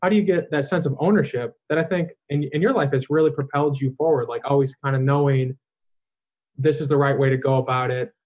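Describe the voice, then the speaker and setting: A man's voice: medium pitch, steady tone, fast talker. A young man hosting an interview, asking long, rambling questions full of filler words. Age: young adult. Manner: rambling, talkative, heavy use of filler words. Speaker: podcast host. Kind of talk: casual interview. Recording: tinny phone-line sound.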